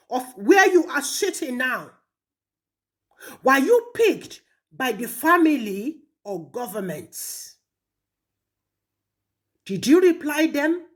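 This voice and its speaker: A Nigerian woman with a fairly high voice speaks in a confrontational monologue aimed at someone absent. Her delivery is emphatic, scolding and openly taunting, as an online commentator calling someone out. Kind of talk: confrontational monologue addressed to an absent person, mixing two languages.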